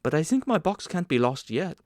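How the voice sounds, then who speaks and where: Audiobook role: naive young man, polite and eager, lifted pitch, dry recording, acted by a male reader. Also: very animated, normal speed, a little mellow, no room sound. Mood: flustered, worried. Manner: earnest, hesitant, eager. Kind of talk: audiobook character acting.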